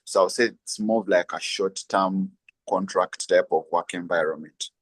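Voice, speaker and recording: A young man with a Ugandan accent answers interview questions in a very deep voice. The recording is dry and close, and he talks hesitantly, leaning on um fillers as he explains. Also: fairly even intonation, normal speed, a bit bright, dry recording. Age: young adult. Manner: hesitant, many um fillers, laughs lightly. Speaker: guest, lawyer and freelancer. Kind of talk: conversational interview.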